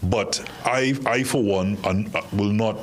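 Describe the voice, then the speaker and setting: A man with a Trinidadian accent, hosting a studio panel discussion in a halting way that often restarts before he speaks at length. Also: lowish voice, slight background noise. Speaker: talk show host.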